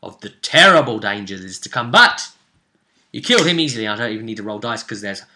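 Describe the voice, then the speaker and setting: Bright-voiced man telling a game story. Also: fairly low pitch, sing-song. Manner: halting, repeats phrases as the story builds. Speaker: game master recapping a tabletop session. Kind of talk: casual spoken storytelling.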